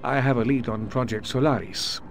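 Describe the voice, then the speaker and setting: A man's voice: mid-range voice, normal speed, faint music behind, close to an everyday voice. A man playing a sly Russian KGB agent. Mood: conspiratorial. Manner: formal, poetic, conspiratorial. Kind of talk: video game cutscene acting.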